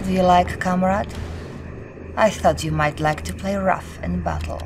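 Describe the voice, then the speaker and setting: An actress playing a poised, loyal Soviet intelligence officer, giving crisp formal briefings in a game cutscene. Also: female voice, fairly low pitch, steady tone, unhurried. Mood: composed, coy. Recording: noisy game cutscene audio.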